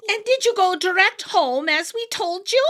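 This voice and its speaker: A woman voicing a sly little fairy leader, a small man with a high, sing-song voice. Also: lively intonation, moderate pace, a bit bright. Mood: teasing.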